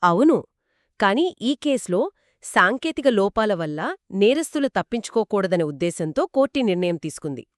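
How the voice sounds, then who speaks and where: A woman's voice: mid-range voice, very animated, moderate pace, dry recording, studio quality. A woman co-hosting a scripted explainer discussion, speaking in an assured, explanatory way. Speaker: explainer co-host. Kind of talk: scripted two-person legal explainer discussion.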